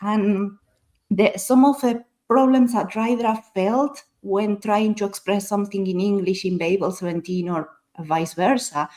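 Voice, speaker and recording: A woman's voice: medium pitch, steady tone, a little slow, a little mellow. A woman whose first language is Spanish, talking casually as a panelist in a book discussion, explaining her thoughts in a considered way.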